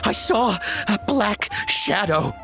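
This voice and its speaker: A woman playing a terrified, panicked townswoman in a video game, with a shrill delivery. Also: expressive, slow pace, music in the background. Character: terrified townswoman who saw a ghost.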